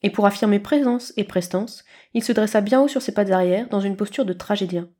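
A woman reading scripted fiction aloud as an expressive storyteller, at a medium pitch. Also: fairly quick. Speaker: narrator, podfic reader.

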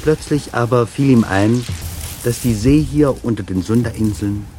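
A man reading a literary story as dramatic narration, in a deliberate and intense storytelling manner. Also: mid-range voice, dark tone. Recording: music behind the voice. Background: music.

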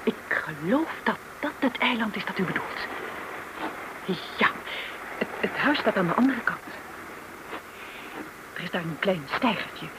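An actor playing a matter-of-fact, obliging sailboat skipper who gives practical instructions in a radio play. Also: male voice, high-pitched, lively intonation, a little slow, pauses often. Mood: calm, practical. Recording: noisy old radio play recording.

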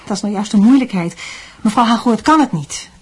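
An actress playing a doting, evasive middle-aged mother in a Dutch radio drama, explaining things gently and sidestepping. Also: medium pitch, animated, a little fast, slightly rough. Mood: evasive, gentle.

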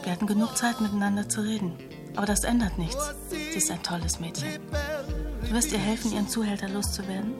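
An actress playing a sober, knowing investigative journalist in a radio drama, direct and matter-of-fact. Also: female voice, medium pitch, lively intonation, fast, over loud music, natural voice. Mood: direct, probing. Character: sober, knowing female investigative journalist, loyal old friend.

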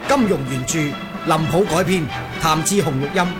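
Over prominent music, a man with a high-pitched voice announces a radio drama. He has a Hong Kong Cantonese accent and reads the credits formally. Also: normal speed.

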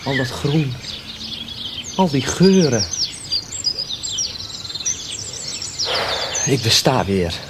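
An actor playing a frail, tender young man recovering from illness, breathy and halting, in a radio drama. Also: mid-range voice, sing-song, very noisy. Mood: awestruck. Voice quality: breathy, often whispering. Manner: halting, slow, intimate. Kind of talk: Dutch radio drama acting.

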